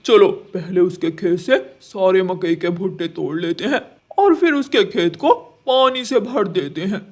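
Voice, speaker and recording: A man's voice: high-pitched, a little slow. A man voicing a scheming, gloating male crow in a children's cartoon, with exaggerated, emphatic delivery. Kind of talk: Hindi children's cartoon dialogue.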